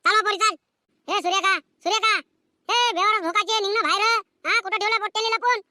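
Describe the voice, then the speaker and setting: Shrill, very high scolding village mother acted by a man. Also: fairly even intonation, fast, sharp tone, dry recording, clean studio sound, full character voice. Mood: furious. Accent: rural Vidarbha Marathi. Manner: scolding, hectoring, repetitive questioning. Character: furious foul-mouthed village mother defending her daughter. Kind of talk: village cartoon comedy skit.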